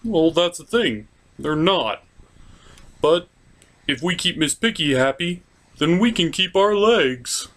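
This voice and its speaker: A man's voice: a little high, lively intonation, takes it slowly, a bit bright. A man voicing a defensive, matter-of-fact puppet frog who manages a show, in comedy skits. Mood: resigned.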